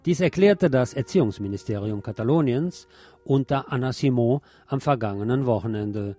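A man's voice: mid-range voice, normal speed, dark tone. A man presenting scripted radio news as a narrator, reading reports in an orderly way. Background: music under the voice.

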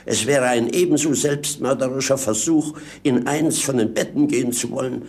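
An actor playing a witty, mocking servant in theatrical, mock-pompous 1950s radio-play style. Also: male voice, mid-range voice, normal speed, darkish tone, lightly acted. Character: roguish servant companion, witty, mocking, down-to-earth opportunist.

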